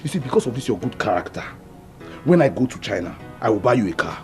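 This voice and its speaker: A woman's voice: rumbling low, very animated, quick pace, soft background music. A woman with a Nigerian accent playing a boastful young husband who promises his wife gifts. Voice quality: teasing, swaggering.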